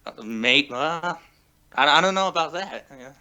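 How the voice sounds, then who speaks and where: An eighteen-year-old man from San Diego calling in, speaking in a soft voice with a shy, hesitant manner. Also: a little high, lively intonation, normal speed, muffled recording. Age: late teens. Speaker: caller.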